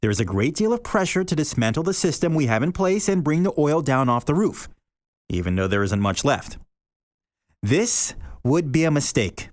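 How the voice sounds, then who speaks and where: A male podcast host reading a short story aloud in an expressive, deliberate way, slowing down for emphasis. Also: fairly high pitch, no room sound, studio quality.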